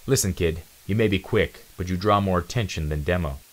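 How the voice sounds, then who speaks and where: A man voicing a sharp-tongued, sarcastic female mouse soldier in a storybook reading, with a snappy manner. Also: sits low, a little fast. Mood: scornful, scolding.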